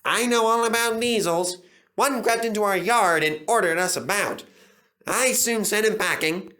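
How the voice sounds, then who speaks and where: Brief: a man playing a vain engine, high and snappy, full of brag. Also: moderate pace, bright, forceful tone. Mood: bragging. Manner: snappish and bragging. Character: boastful vain male steam engine.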